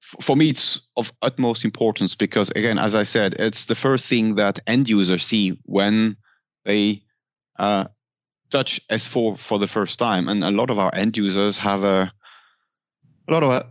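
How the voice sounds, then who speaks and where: A man hosting a corporate tech podcast, asking questions in a formal, slightly halting way. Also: lowish voice, fairly even intonation, no room sound. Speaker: podcast host.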